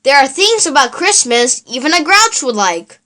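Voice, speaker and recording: A woman's voice: sits high, very animated, normal speed, a bit bright. A woman voicing a small, cheerful, childlike furry monster in a storybook, polite and eager.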